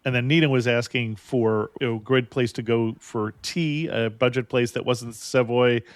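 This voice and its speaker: A man's voice: mid-range voice, fairly even intonation, moderate pace, dry recording. A man hosting a travel interview podcast, asking his guest short, friendly questions. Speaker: podcast host. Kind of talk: casual interview.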